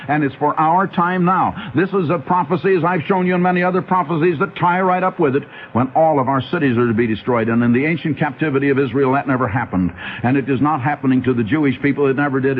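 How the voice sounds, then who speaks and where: A man preaching an urgent radio sermon and reading scripture, his voice swinging between emphatic high peaks and low, quiet asides. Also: slight background noise. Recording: narrow tinny old radio broadcast.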